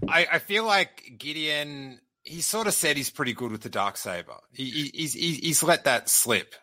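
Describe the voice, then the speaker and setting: A man with a very bright, sharp voice hosts a live stream from his home mic. He stumbles over his first words, then runs on briskly as he reads out questions from viewers. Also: a little high, expressive. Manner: stammering starts, then brisk. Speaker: podcast host. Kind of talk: casual live-stream hosting.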